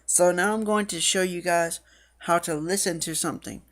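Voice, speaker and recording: Casual female demonstrator, low-pitched, walking listeners through an app step by step. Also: steady tone, normal speed. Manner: reads screen text aloud, then explains it. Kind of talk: casual tutorial walkthrough.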